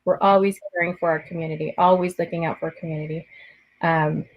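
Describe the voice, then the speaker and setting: Host, female, lower voice, affirming tone with Spanish sprinkled in, video call audio. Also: steady tone, fast talker, muffled recording. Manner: mixes Spanish phrases into English; affirming, frequent "right?" tags. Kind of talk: casual conversation on a video call.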